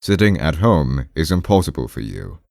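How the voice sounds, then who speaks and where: A man's voice: extremely low pitch, big pitch swings, normal speed, gravelly, a little mellow, no room sound, studio quality, full character voice. A man voicing a pompous, brusque doctor in an audiobook, ponderous and emphatic, with hems and haws. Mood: insistent. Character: pompous, brusque male doctor lecturing his patient. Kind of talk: audiobook character voice.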